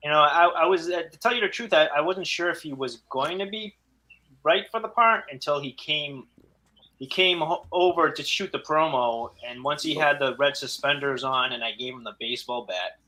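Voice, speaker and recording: A man's voice: on the high side, moderate pace, bright, forceful tone, low-quality recording. A man chatting casually as a filmmaker guest, often repeating words as he goes. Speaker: independent filmmaker, podcast guest. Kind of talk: casual chat.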